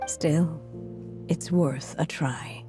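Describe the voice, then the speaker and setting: An actress voicing a frail elderly woman author near the end of her life, speaking slowly and calmly, sometimes soft and breathy, with coughs. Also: low-pitched, music in the background. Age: older. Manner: slow, calm, resolved. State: coughing, weakened. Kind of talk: game dialogue.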